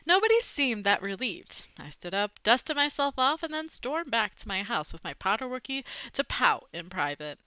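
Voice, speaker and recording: A woman reading a children's book aloud in an expressive, dramatizing way, with chatty asides. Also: fairly high pitch, moderate pace, few pauses, smooth, no echo, muffled recording. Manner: animated, dramatizing dialogue, commenting to young listeners. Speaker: read-aloud storyteller.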